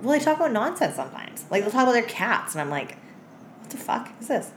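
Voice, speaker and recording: A woman's voice: on the high side, big pitch swings, fast. A woman co-hosting a podcast, chatting crudely and comically with plenty of slang, swearing and giggles. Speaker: podcast co-host. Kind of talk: unfiltered comic chat and anecdotes. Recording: roomy echo with background noise.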